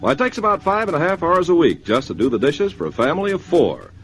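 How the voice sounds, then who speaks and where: A man's voice: a little high, sing-song, quick pace, fairly bright tone, a little hiss or hum, low-quality recording. An American man announcing in a scripted 1950s radio style, as on a vintage sponsored broadcast. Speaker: commercial announcer.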